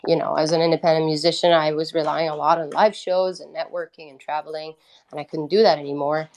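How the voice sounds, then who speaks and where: Woman chatting casually. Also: sits low. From Italy. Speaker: guest, independent musician. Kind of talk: casual conversational storytelling.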